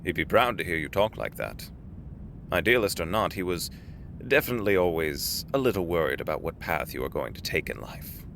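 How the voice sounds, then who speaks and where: An actor playing a courteous middle-aged executive, avuncular and formal, with genteel, deliberate phrasing in an audio drama. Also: male voice, deep voice, sing-song, creaky, a bit bright, a little hiss or hum, full character voice. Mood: warm, pleased.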